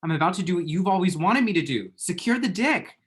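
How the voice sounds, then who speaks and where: A man's voice: high-pitched, expressive, quick pace. Man acting a chatty, flippant lovesick young guy. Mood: playful. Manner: chatty, dry, self-deprecating, pop-culture asides. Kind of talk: screenplay table read.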